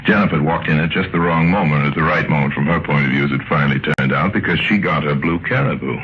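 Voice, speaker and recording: A man's voice: extremely low pitch, quick pace, slight background noise. A man playing a worldly, wry adventurer who narrates his own tale in a 1950s radio drama. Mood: wry, reflective. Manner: wry storytelling. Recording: muffled vintage radio recording, music behind.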